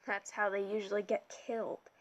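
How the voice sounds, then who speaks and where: A woman's voice: mid-range voice, level tone, fairly quick, dull audio, natural voice. A woman reading an audiobook, voicing a pudgy, impatient and scornful male camp director who drawls his contempt.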